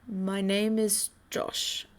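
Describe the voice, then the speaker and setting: A woman who presents as a native Spanish speaker, haltingly and apologetically greeting viewers in short, broken fragments. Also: mid-range voice, level tone. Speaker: vlogger.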